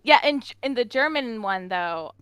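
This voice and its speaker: A woman's voice: a little high, lively intonation, moderate pace, a bit bright, clear, resonant tone. A woman with an American accent, a chatty and emphatic podcast co-host in casual conversation. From United States. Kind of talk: casual chat.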